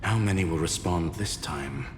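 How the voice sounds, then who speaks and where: A man's voice: low-pitched, noisy background, natural voice. An actor voicing a formal, impassive male scholar in a video game, reporting facts with grave precision. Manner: formal, factual, measured. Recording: echoey hall reverb over music.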